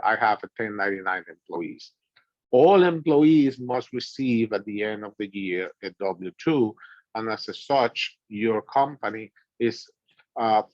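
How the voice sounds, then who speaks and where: A man's voice: on the low side, dry recording, highs cut off. A middle-aged man from the Dominican Republic with a Dominican Spanish accent, patiently teaching a business class with many fillers. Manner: patient, repeats himself, fillers like "you know" and "okay". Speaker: instructor.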